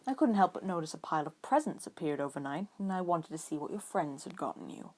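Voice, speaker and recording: A woman reading the part of a calm older man, a protective father, in a measured, polite and firm way. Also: sits low. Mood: relaxed.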